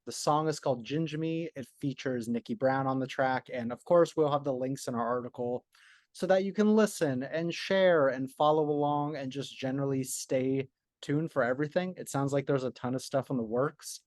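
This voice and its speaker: A man's voice: mid-range voice, normal speed, a little mellow, no echo, clean studio sound. A man interviewing a musician over a video call, chatty and enthusiastic, often laughing. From United States. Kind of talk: casual interview chat.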